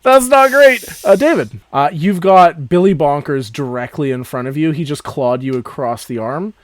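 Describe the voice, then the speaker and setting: A man's voice: a little high, moderate pace, darkish tone, dry recording. A man acting as game master, narrating a tabletop game in a casual, descriptive way.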